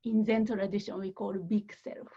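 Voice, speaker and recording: A woman meditation teacher leading a guided meditation softly and gently, with many pauses and a breathy voice that often nears a whisper. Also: mid-range voice, little pitch movement, lo-fi sound.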